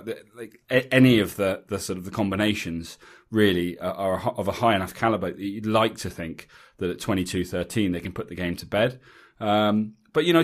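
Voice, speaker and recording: Low-voiced English podcast host, rambling. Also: male voice. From England. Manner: chatty, rambling with frequent restarts and ums. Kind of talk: casual chat.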